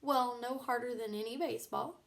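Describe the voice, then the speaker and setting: A woman voicing a tactful, encouraging baseball coach with measured manners in a children's storybook. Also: a little high, fairly even intonation, gravelly, fairly bright tone. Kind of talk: children's storybook read-aloud.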